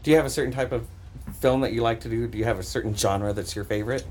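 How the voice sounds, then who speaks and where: A woman's voice: extremely low pitch, rapid-fire. A woman chatting casually as a podcast guest, joking and exuberant. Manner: jokey, exuberant, animated. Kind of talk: casual chat. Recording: noisy room recording.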